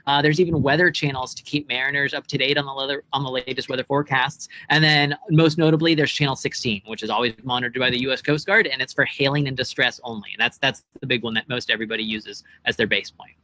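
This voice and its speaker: A man's voice: mid-range voice, fairly even intonation, quick pace, hardly any pauses, pushed and bright, low-quality recording. A young American man giving casual, informal explanations as a guest expert, often checking in with tag questions. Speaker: boat club operations director, guest expert. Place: outdoors on a marina dock.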